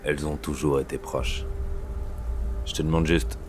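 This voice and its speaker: A man acting a grave, worried, secretive police superior in an audio thriller, speaking in a hushed, halting, confiding way. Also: flat delivery. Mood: grave, somber. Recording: clear background noise, vehicle-like rumble.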